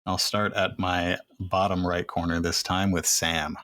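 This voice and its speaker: A man hosting a casual podcast roundtable and handing turns to his guests in a relaxed way. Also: low voice, measured pace. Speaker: roundtable host.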